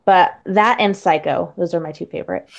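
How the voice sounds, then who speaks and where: A woman's voice: on the low side, moderate pace, gravelly, lo-fi sound. A woman chatting casually as a guest on a podcast. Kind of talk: casual chat.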